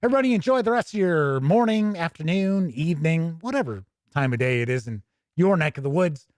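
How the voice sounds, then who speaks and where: A man with a fairly high voice hosts a gaming show. He talks in a casual, folksy way with jokey turns of phrase, and the sound is very dry, with no room to it. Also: lively intonation, a little fast, a little mellow, dry recording. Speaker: YouTube host of a tabletop gaming show. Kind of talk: casual livestream sign-off chat.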